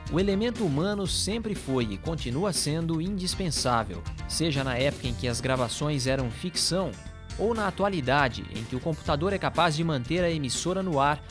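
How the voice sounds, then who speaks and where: A Brazilian man delivers scripted documentary narration in a slightly high male voice. Music plays clearly behind him as he reads.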